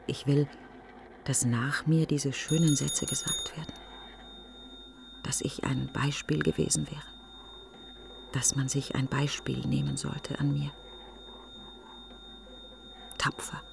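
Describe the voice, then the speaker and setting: An actress playing a grieving woman in a literary radio monologue, speaking in hushed, halting fragments. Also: rumbling low, little pitch movement, creaky, noisy background, exaggerated voice. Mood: bleak, brooding. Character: grieving woman brooding on her dead best friend.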